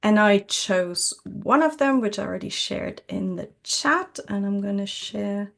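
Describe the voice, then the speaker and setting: A woman hosting an informal online journal club over a video call, explaining a paper in a hesitant way and pausing often to find her words. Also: mid-range voice, animated, gravelly. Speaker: journal club presenter and host. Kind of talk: informal online seminar presentation.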